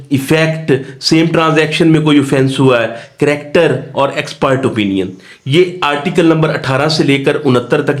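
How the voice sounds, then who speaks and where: A man giving an instructional lecture, didactic and repeating key points as he explains. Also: fairly high pitch. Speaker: law lecturer. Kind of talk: exam-focused lecture mixing Urdu-Hindi and English terms.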